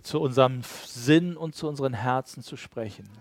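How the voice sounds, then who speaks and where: A man's voice: mid-range voice, normal speed, no room sound. Male church interpreter, mid-range voice, dry recording, relaying a sermon in short consecutive phrases.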